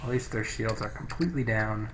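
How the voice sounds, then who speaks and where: A man's voice: on the low side, steady tone, fairly quick, heavy background noise. A man giving casual let's play commentary, thinking aloud and reading game text, on a poor-quality microphone. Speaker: gaming let's play host. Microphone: low-quality microphone.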